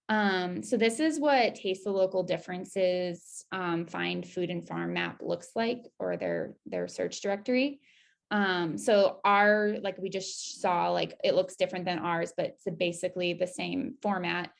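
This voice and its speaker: A woman's voice: lowish voice, measured pace, gravelly. A woman presenting an informal screen-share walkthrough of a website, talking casually with upbeat asides. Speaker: presenter giving a website demo.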